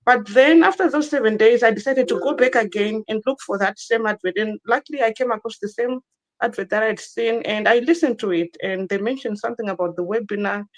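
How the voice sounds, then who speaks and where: A woman's voice: a little high, brisk, rough recording. A woman in her thirties from Zimbabwe tells her own story as a guest in an online interview. She talks earnestly, repeating phrases and stopping now and then for a small laugh.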